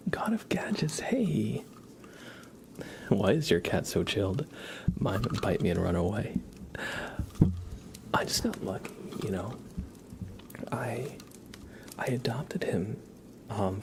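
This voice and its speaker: An ASMR livestream host who whispers and talks softly in a gentle, affectionate way, close to the microphone. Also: medium pitch, very animated, unhurried, creaky, long pauses, background noise. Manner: gentle, affectionate cooing at a pet. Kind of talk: live ASMR, whispered and soft-spoken greetings and chat. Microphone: close sensitive microphone.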